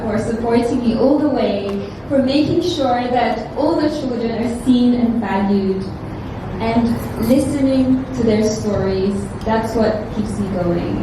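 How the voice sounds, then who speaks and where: A woman from France speaking earnestly and from the heart, answering at a press conference. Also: on the high side. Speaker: pageant titleholder. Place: large echoey room at a press conference. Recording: echoey hall, distant mic, clear noise.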